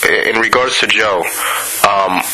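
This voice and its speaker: A man hosting a radio interview, halting and asking his guest to clarify. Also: lowish voice, bright, forceful tone, slight background noise, rough recording. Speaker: radio host.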